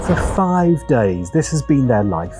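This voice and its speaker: A man narrating a scripted video essay with deliberate, dramatic phrasing. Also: mid-range voice, big pitch swings, music in the background.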